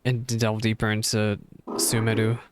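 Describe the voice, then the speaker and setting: A man's voice: on the low side, completely flat delivery, unhurried, background noise. A man giving casual gameplay reaction commentary as a streamer, chatting in rambling asides.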